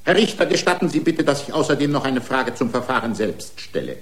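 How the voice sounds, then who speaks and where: A man's voice: medium pitch, very animated, brisk, close to an everyday voice. An actor playing an indignant, argumentative racing driver who is part man, part machine, pleading his own case in a German radio play. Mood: challenging. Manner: argumentative, rhetorical, aggrieved. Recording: roomy studio courtroom sound.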